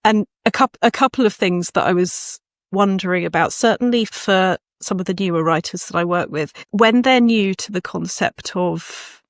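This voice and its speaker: A woman with a medium-pitched voice interviews in a conversational way. She is thoughtful and tentative, feeling her way through long questions, recorded with very little room sound. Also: moderate pace, no room sound, studio quality. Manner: tentative, reflective, circling questions. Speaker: host, writing coach.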